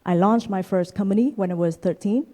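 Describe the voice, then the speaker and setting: A woman's voice: mid-range voice, dark tone. A woman in her thirties from Vietnam, giving a conference keynote talk with frequent "uh" fillers. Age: 30s. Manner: frequent "uh" fillers, measured delivery. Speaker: keynote speaker, venture capitalist. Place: conference stage.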